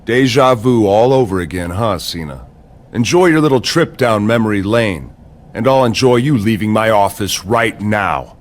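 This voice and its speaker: A man's voice: on the low side, lively intonation, moderate pace, lightly acted. A man playing a smug, vindictive wrestling general manager, taunting and domineering in a scripted game cutscene.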